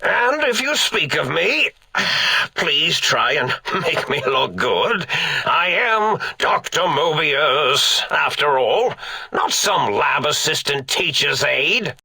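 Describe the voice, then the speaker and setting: A man playing an eccentric, forgetful old mad scientist in a video game, raspy and theatrical, rambling along with pompous, absent-minded manner. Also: fairly high pitch, big pitch swings, slow, pushed and bright, no echo, heavily acted. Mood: scheming, playful. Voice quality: raspy, creaky. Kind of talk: video game character acting.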